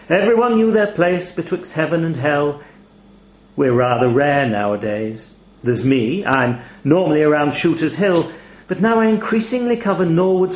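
A man's voice: a little high, reverberant. A man voicing an ancient talking toll raven in a story, pompous, weary and wistful like a retiring bishop reminiscing. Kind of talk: audio short story character dialogue.

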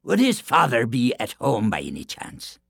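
A man voicing a huge blustering giant bully in a storybook tale, booming and growling, stretching out his words. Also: wildly swooping pitch, moderate pace, vocal fry, fairly bright tone, breathy edge, no room sound, clean studio sound, exaggerated voice.

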